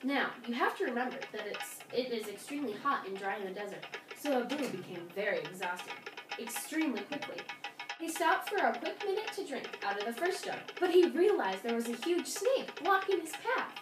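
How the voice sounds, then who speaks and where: A woman narrating a folktale in an expressive, dramatic storybook style with emphatic delivery. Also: on the high side, a little fast, over music. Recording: roomy, distant mic, faint noise.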